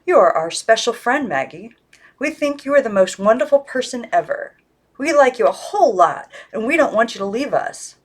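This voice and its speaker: Woman putting on a high, pleading little doll voice. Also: sing-song, normal speed. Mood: adoring. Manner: sweet, pleading. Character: Miss Christabel, dainty female doll, adoring and pleading.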